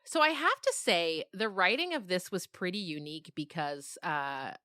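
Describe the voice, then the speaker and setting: A middle-aged woman with a fairly low, clearly bright voice speaks in a conversational interview. She tells things in an animated, reflective way, as a writer explaining her process. The sound is dry. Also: normal speed, dry recording. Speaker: novelist and podcast creator being interviewed.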